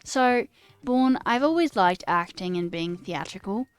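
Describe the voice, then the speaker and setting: A woman's voice: mid-range voice, expressive, measured pace, clear, resonant tone, no echo. Young woman streamer with put-on British accent. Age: young adult. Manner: self-deprecating, theatrical. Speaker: live coding streamer. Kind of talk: casual livestream chat, reading viewer comments aloud. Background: music.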